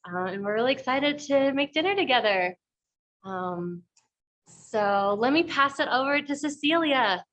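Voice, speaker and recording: A woman's voice: high-pitched, animated, a little slow, a bit bright. Woman hosting an online cooking class. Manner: friendly, many ums and restarts. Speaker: class host for a food co-op.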